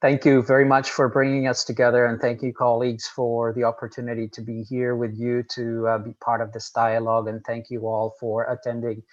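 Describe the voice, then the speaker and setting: Male panelist, first language Portuguese, steady monotone, measured and formal, muffled call audio. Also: medium pitch. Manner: earnest, measured, formal with frequent "um". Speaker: executive director of an organization. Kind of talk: panel discussion by video call.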